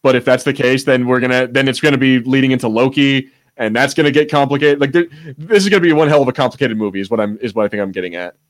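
A man's voice: mid-range voice, fast, few pauses. A man co-hosting a podcast in casual, unscripted chat, rambling, searching for words and breaking off mid-thought, with some swearing. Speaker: podcast co-host.

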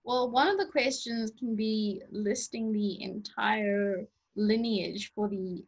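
A young woman from South Africa co-hosting a casual chat, relaxed and rambling, with many fillers and easy laughs. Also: mid-range voice, takes it slowly, breathy edge, poor audio. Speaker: podcast co-host.

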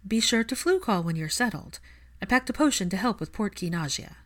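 A woman's voice: medium pitch, animated, fairly quick, natural voice. A woman reading the part of a composed, practical, kind witch in a reassuring, polite way. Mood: kindly.

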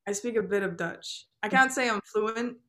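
A young woman whose first language is Turkish talks casually as a musician guest in an interview. She is chatty and laughs often, with quick asides. Her voice sits at an ordinary middle pitch for a woman. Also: pushed and bright. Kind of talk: casual chat.

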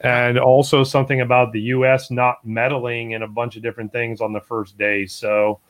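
A man's voice: mid-range voice, flat delivery, moderate pace. Male co-host, easygoing, casual slang, dry jokes delivered straight. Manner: laid-back, dry humor, says "man" and "bro". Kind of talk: casual chat.

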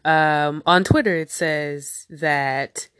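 Low-voiced, nasal woman with a cold. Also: steady tone, drawn-out words, a bit bright, smooth, no room sound. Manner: chatty, rambling, goes off on tangents. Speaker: podcast host. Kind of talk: casual solo podcast chat.

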